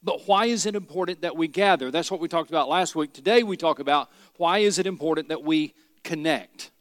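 A middle-aged pastor from Tennessee, preaching a sermon with folksy stories and rhetorical questions. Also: male voice, a little high, a little fast, no room sound, clean studio sound, in a large hall. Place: church sanctuary.

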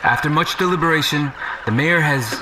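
An actor playing a beleaguered police chief making a halting, formal announcement and pleading for order in an audio drama. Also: male voice, fairly high pitch, lightly acted. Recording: noisy street, voice at a distance.

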